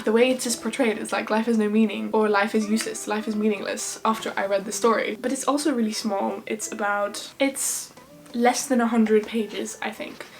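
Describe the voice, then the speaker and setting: A young woman from Ireland with an Irish accent that drifts toward English, chatting casually and recommending books as a vlogger, with quick asides and self-deprecating jokes. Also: on the high side. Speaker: book vlogger. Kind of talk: casual book recommendations to camera. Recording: music playing underneath.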